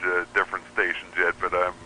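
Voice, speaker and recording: A man's voice: low voice, completely flat delivery, brisk, pushed and bright. A man chatting and explaining as a guest in a casual radio interview, with a wry manner.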